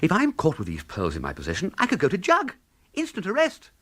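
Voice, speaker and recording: Flustered posh english young gent, radio comedy, bright voice, pitch all over the place. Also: male voice, wildly swooping pitch, a little fast, full character voice. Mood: panicky. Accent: upper-class English. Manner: breezy, exclamatory period slang. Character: flustered, hapless upper-class young English gentleman. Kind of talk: radio comedy drama acting.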